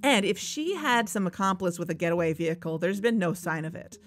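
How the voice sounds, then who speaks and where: A woman's voice: on the low side, fairly quick, lightly acted. A woman playing a wry, earnest investigator who co-hosts a podcast, narrating in a conversational, confiding way. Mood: serious, frustrated. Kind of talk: conversational scripted audio drama narration. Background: background music.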